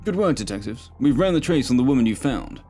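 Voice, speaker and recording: A man voicing a forceful, ambitious police captain with a commanding, brisk manner, in an audiobook. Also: mid-range voice, sing-song, faint music behind, voice pushed up, natural voice.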